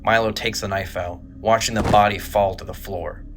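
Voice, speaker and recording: A man narrating a scripted horror audio drama in a measured, grave manner. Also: on the low side, steady tone, noisy background.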